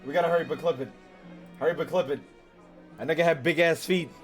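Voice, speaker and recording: A man's voice: on the high side, fast. An American man doing casual live-stream game commentary in a slangy, profane, teasing way. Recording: faint game music behind.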